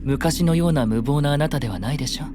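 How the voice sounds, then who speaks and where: An actor playing a composed, courteous knight companion with polite, measured speech in a fantasy audio drama. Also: male voice, a little high, noisy background, close to an everyday voice. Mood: gentle, composed. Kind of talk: anime-style fantasy audio drama acting.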